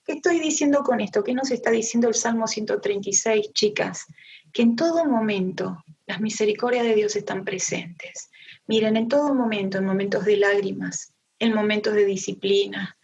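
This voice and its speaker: A woman's voice: medium pitch, moderate pace, creaky, darkish tone. A middle-aged woman with an Argentine accent gives a conversational devotional Bible teaching to a women's group, addressing her listeners directly. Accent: Argentine Spanish. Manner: engaged, addresses listeners directly with rhetorical questions. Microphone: online group call. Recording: dull, lo-fi online meeting audio.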